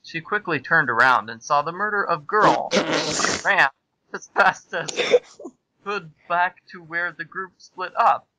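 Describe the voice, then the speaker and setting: A man's voice: fairly high pitch, unhurried, bright, forceful tone, a little hiss or hum, poor audio. A man narrating amateur fan fiction in a theatrical, amused way. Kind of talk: comic dramatic reading of amateur fan fiction.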